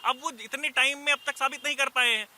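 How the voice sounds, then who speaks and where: A man's voice: very high-pitched, steady tone, fast, pushed and bright. A man arguing as a party representative, emphatic and insistent, dropping in English legal terms, with faint background ambience. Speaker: party representative on debate panel. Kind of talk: argumentative political debate.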